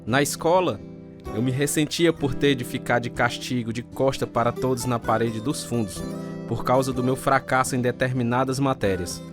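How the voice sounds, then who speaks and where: A Brazilian man voiced by an actor with a medium-pitched voice narrates in first person. He is sober and reflective, confessing a resentful past in a radio drama over guitar music. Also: close to an everyday voice.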